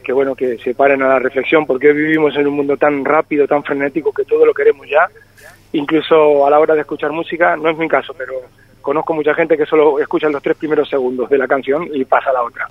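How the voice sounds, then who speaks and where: A man's voice: fairly high pitch, flat delivery, fast talker, dry recording. A singer-songwriter in his forties with a slight Argentine-tinged Spanish accent, talking reflectively in a phone interview with many hesitations. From born in Spain. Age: 40s. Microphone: telephone. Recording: tinny phone line, dry.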